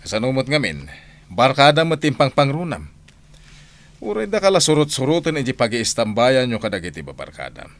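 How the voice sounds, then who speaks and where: A man's voice: medium pitch, huge pitch swings, moderate pace, a bit bright. A man playing a blunt, doubtful, exasperated older brother in a radio drama. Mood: scolding. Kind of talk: radio drama acting in Ilocano.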